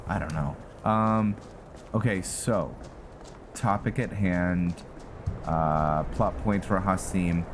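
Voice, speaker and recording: A man giving casual gameplay commentary as host, thinking aloud in a halting way, with game music behind him. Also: low-pitched, background noise. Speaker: gaming channel host.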